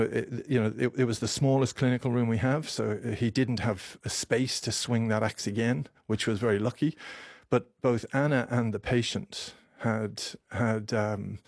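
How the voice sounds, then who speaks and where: A doctor from Ireland in his fifties, speaking slowly in a low, gravelly voice. He starts and restarts his sentences, and his voice nearly breaks with emotion. The recording is dry and close, with no room sound. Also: darkish tone, dry recording. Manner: halting, with frequent restarts and repeated words. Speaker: doctor, interview guest. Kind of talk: emotional radio interview.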